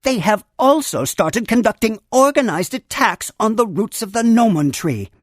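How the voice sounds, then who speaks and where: A female creature caretaker from a children's computer game, wise and earnest. Her voice sits fairly high and swings widely in pitch. She speaks in formal, theatrical storybook phrases with gentle humor, in a close, dry studio sound. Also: expressive, moderate pace, dry recording. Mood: grave, earnest. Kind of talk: scripted game character narration.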